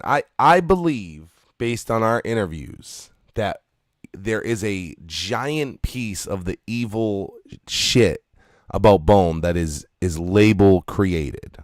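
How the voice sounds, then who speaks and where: A man's voice: on the low side, no room sound, studio quality. A man co-hosting a live stream, talking casually and emphatically, with frequent swearing and repeated phrases. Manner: emphatic, profanity-laced, repeats himself. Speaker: podcast co-host discussing hip-hop.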